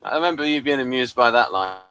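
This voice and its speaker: A man's voice: mid-range voice, expressive, quick pace, sharp tone, smooth, no room sound. A man chatting casually as a co-host on a review show. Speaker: podcast co-host reviewing a TV episode. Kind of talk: casual chat.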